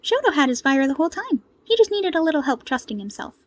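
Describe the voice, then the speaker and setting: A woman voicing a cheerful, modest young hero strategist in an audio story, with an earnest, gently exasperated manner. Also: high-pitched, big pitch swings, quick pace, dry recording, voice pushed up. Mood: amused, exasperated.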